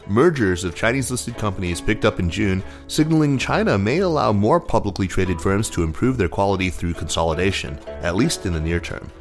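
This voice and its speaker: A man with a low-pitched voice presents a business news programme. His delivery is measured and articulate, like a prepared host. Soft music sits faintly behind him. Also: expressive. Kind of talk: scripted news reading and interviewing.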